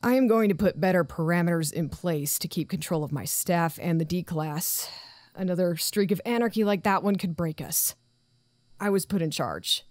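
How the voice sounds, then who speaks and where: Actress as self-doubting woman in command, slightly low voice, dry close sound, formal yet faltering. Audio drama report. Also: moderate pace, darkish tone, no echo, lightly acted. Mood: earnest, weary. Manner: formal but faltering, apologetic. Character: anxious, dutiful female acting site director, self-doubting.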